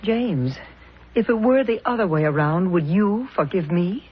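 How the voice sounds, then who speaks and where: A woman's voice: medium pitch, lively intonation, unhurried, husky. An actress playing the earnest, forgiving wife of an accused man, tender and emotional, in 1970s radio drama style. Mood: tender, pleading. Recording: dull lo-fi radio drama recording.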